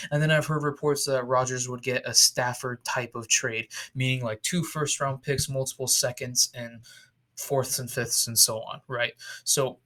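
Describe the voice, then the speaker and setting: A young man talks through a football draft in loose, rambling commentary, restarting sentences and leaning on fillers. His throat is sore, so the voice sounds slightly off. His pitch sits right in the middle for a man. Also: fairly bright tone. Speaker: YouTube football mock draft host. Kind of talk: casual solo commentary over screen.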